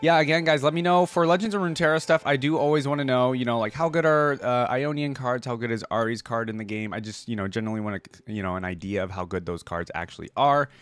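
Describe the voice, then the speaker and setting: A man's voice: mid-range voice, quick pace, few pauses, no room sound. Male reaction host, informal gaming commentary, thinks aloud, faint music bed. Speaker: reaction video host, gamer. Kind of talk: casual game reaction commentary.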